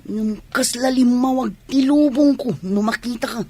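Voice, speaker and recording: A woman's voice: fairly high pitch, normal speed, warm tone, lightly acted. A woman playing an earnest, lovesick grown child who pleads and pines in an Ilocano radio comedy-drama. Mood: teasing.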